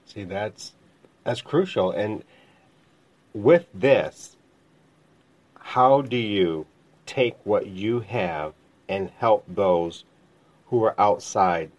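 A man's voice: on the low side, animated, drawn-out words, pauses often. A man in his fifties hosting a casual faith talk, asking long, rambling questions in a conversational way. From America. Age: 50s. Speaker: host. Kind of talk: casual interview chat. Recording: dull lo-fi sound.

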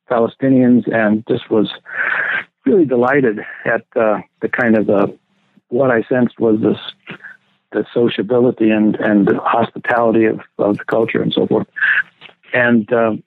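A man's voice: mid-range voice, dry recording. An older man, a theology professor, reminiscing unhurriedly as an interview guest, often correcting himself and chuckling now and then. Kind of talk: relaxed reminiscing interview talk. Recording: tinny phone-like dry line.

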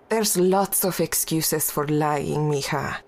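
A woman's voice: low voice, takes it slowly. An actress playing an older widowed woman who is motherly and teasing. She mixes Spanish endearments into her talk. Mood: wry. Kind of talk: audio drama acting.

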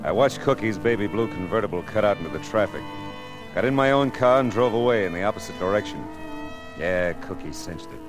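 An actor playing a world-weary, wisecracking private detective with an American accent and a sardonic, clipped delivery in 1940s radio noir style. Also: male voice, lowish voice, lively intonation, a little fast, over loud music, natural voice. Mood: wry narration.

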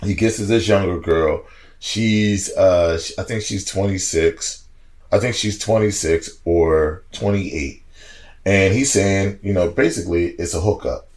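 A man in his forties, a podcast guest, telling stories in a casual, slangy, animated way and laughing as he goes. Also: low voice, unhurried. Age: 40s. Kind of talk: casual storytelling chat.

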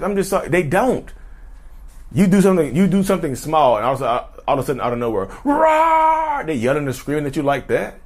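Lively chatty man talking to his viewers. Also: fairly high pitch. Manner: chatty, keeps addressing viewers as y'all; repeats and restarts, drifts into sidebars. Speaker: YouTuber talking about narcissism. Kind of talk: casual direct-to-camera talk.

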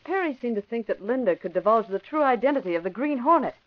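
An actress playing a sweet, spirited young secretary in an old radio drama. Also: female voice, fairly high pitch, lively intonation, fast, natural voice. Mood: earnest, relieved. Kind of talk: 1950s radio drama acting. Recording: dull vintage radio broadcast.

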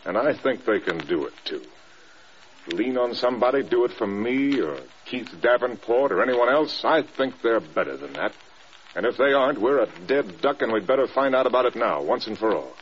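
An actor playing a stern, demanding American air force general in clipped, blunt classic radio drama style. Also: male voice, medium pitch, very animated, normal speed, slight background noise, lightly acted. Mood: quiet, resolute. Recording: dull, band-limited old radio recording.

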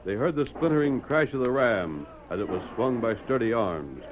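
A man's voice: mid-range voice, animated, normal speed, background noise. A man reading dramatic scripted narration for an old-time radio western. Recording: muffled vintage radio recording.

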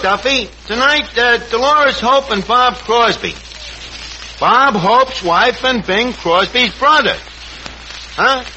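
A man's voice: very high-pitched, pushed and bright, full character voice. An actor playing a brash, scheming New York tavern manager who mangles his words pompously in a 1940s radio comedy. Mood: eager, wheedling. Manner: pompous malapropisms and slangy grammar. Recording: noisy old 1940s radio recording.

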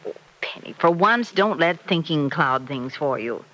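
The wise, teasing American mother of a daytime radio serial, coaxing her grown child toward romance in lilting, animated tones. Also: low voice, a little slow, full character voice, low-quality recording. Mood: coaxing, insistent. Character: fond, teasing, romantically minded middle-aged mother. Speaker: radio drama actress. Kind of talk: old-time radio soap opera acting. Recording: vintage radio transcription.

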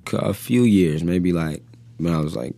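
Deep-voiced young rapper, laid-back. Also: male voice, vocal fry, dark tone, dry recording. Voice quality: deep bass voice. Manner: laid-back, drawn-out phrases with frequent fillers. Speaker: rapper guest. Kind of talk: casual interview chat.

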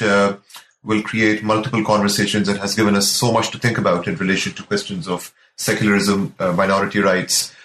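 A man hosting an academic interview, courteous and effusive, with halting, polite phrasing. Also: fairly low pitch, a bit bright. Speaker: podcast interviewer and host. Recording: roomy echo with background noise.

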